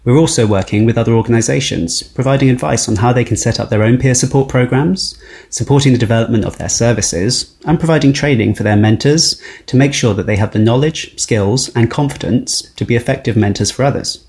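A man's voice: medium pitch, brisk, darkish tone. A man delivering a scripted promotional announcement as a spokesperson for a charity.

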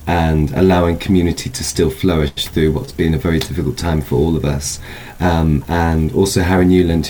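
A man's voice: a bass voice, dark tone, slight background noise. A man speaking as a festival guest, explaining an event informally in hesitant, thoughtful phrases. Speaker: film festival spokesperson, guest.

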